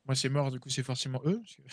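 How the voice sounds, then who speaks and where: Un homme jouant un collègue de garage décontracté et familier dans un jeu de rôle improvisé. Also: male voice, mid-range voice, fairly quick, natural voice. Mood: casual. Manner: informal, slangy. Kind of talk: casual improvised roleplay chat.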